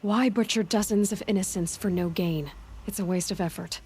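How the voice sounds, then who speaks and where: A woman's voice: medium pitch, moderate pace, a little mellow, husky, close to an everyday voice. A woman playing a defiant young captive warrior in a video game, talking back in a blunt, sardonic way. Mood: appalled. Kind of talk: video game cutscene acting. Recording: voice under background music.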